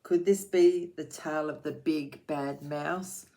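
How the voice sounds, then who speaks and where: Woman doing a young monster girl, eager, curious, brave, storybook voice, slow reading pace. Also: low-pitched, steady tone, warm tone. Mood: excited. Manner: eager, questioning.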